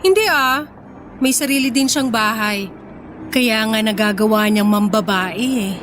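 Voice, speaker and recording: An actress playing a young woman in a radio drama, speaking in natural, expressive dialogue. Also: a little high, a little slow. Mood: light, shy. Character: apologetic young woman, grateful but fiery when provoked. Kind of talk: radio drama acting, conversational. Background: music bed.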